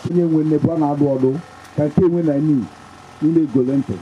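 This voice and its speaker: A man with a Nigerian accent emceeing a community summit, switching between Pidgin and Igbo in a loose, halting way. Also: fairly high pitch, steady tone, dull audio, slight background noise. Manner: loose, halting announcing with fillers. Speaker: event MC. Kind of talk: public address mixing Pidgin and Igbo.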